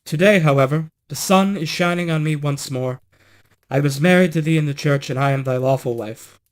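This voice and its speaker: A man voicing a gentle, long-suffering fairy-tale princess, earnest and formal in speech. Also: a little high, moderate pace, close to an everyday voice. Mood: earnest, relieved. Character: Maid Maleen, gentle long-suffering princess and true bride.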